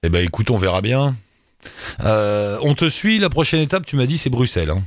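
A man's voice: medium pitch, big pitch swings, moderate pace, no echo, studio quality. A man hosts a radio show in a casual, friendly way. He asks questions and chats with callers. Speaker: radio host.

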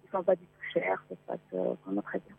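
A woman's voice: fairly low pitch, monotone, quick pace, creaky, breathy edge. A woman from Morocco, calling a radio show by phone from inside a parked car and talking hesitantly with many fillers. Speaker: caller, travel blogger. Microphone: mobile phone. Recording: tinny phone line.

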